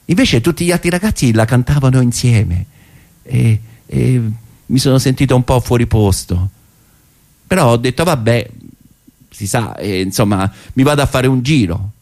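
A man's voice: medium pitch, lively intonation, normal speed, darkish tone. A middle-aged man with a Roman accent performing a theatrical first-person monologue in a conversational, hesitant way with wry asides.

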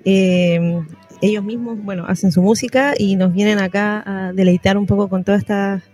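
A woman's voice: mid-range voice, fairly even intonation, normal speed, dark tone. A woman with a Chilean accent hosting a casual radio interview in an informal, slangy way. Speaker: radio host. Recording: music playing behind the voice.

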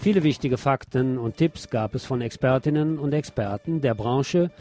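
A man presents a scripted radio commentary over a music bed. His voice sits at an average pitch, and he speaks emphatically, with an indignant edge. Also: normal speed, a little mellow. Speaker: radio host.

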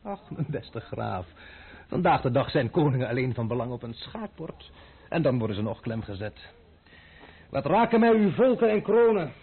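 An actor playing a stubborn, insolent young gentleman adventurer in a radio play, husky, politely mocking and dryly unimpressed. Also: mid-range voice, very animated, normal speed. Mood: flippant, scornful. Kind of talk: Dutch radio drama acting. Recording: muffled, band-limited old radio recording.

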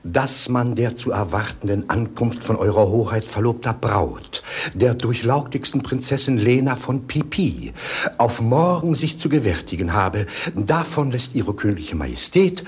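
Husky, pompous court official. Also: male voice, mid-range voice, animated, moderate pace. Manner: stilted, pompous, formal. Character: fussy, servile male court official, nervously pompous. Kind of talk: 1950s German radio play acting.

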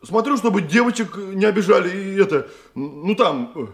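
A small-time criminal lackey in a radio play, his fairly high voice swinging widely in pitch. He is servile and slippery, stammering and echoing questions to buy himself time. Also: expressive, moderate pace. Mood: hesitant, ingratiating. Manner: hesitant, stammering, repeats questions back. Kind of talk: Russian radio drama acting.

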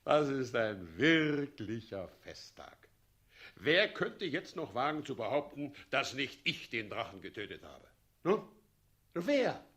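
A husky, gloating usurper president. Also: male voice, medium pitch, big pitch swings, takes it slowly, fairly bright tone, exaggerated voice. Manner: pompous, falsely sweet, commanding. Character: gloating, self-important usurper president and bridegroom. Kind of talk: 1960s German radio play acting.

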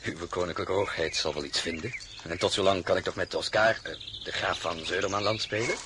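Low-voiced young noble officer played by a man. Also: brisk, close to an everyday voice. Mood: courteous, eager. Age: young adult. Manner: polite, formal, well-spoken. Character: young, noble count and courteous military officer. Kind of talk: radio drama acting. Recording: noisy outdoor ambience behind dialogue.

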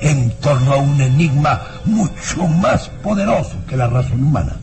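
An actor playing an arrogant, irascible baron in a vintage radio drama, with a theatrical, sinister, scornful delivery. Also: male voice, on the high side, expressive, moderate pace, over loud music, natural voice. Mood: ominous, menacing. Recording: noisy old radio drama recording.

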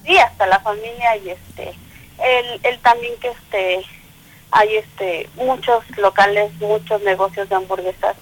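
A woman's voice: mid-range voice, steady tone, measured pace, poor audio. A middle-aged woman from Mexico City with a Mexican accent, telling her story as a guest on a call-in interview, chatty with lots of fillers and laughter. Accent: Mexican Spanish. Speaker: small business owner, interview guest. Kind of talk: casual phone interview. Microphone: telephone call.

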